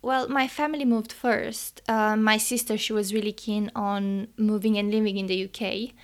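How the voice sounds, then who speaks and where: A woman's voice: mid-range voice, steady tone, normal speed. A young woman with a Romanian accent answers interview questions in an earnest, thoughtful way. Her phrasing is sometimes non-native, and she laughs easily between points. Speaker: podcast guest, engineering student.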